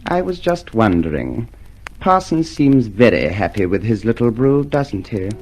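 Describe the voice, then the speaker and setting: An actor playing a probing, politely curious colleague in a radio drama, with a needling manner. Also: male voice, on the low side, very animated, unhurried, over loud music, low-quality recording. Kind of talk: old-time radio drama acting.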